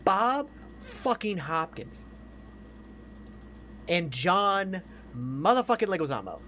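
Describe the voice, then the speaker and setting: A man's voice: sits high, very animated, takes it slowly, bright, forceful tone, a little hiss or hum. An American man in his thirties, a podcast co-host chatting casually and emphatically about films, with plenty of swearing.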